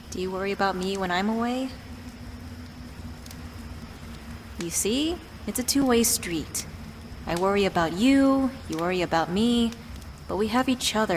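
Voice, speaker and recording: Casting: woman as fretful, loving witch for ASMR roleplay, mid-pitched, playfully exasperated tender scolding, audible ambience behind. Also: natural voice. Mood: earnest concern. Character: worried, affectionate witch scolding her beloved partner. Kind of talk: ASMR roleplay audio drama. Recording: clear background ambient noise.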